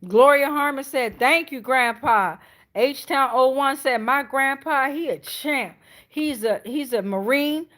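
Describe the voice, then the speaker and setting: A woman's voice: high voice, takes it slowly, a bit bright. A middle-aged woman with an American accent doing a casual live tarot reading in a sassy, emphatic way, swearing freely. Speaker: tarot reader hosting a live stream.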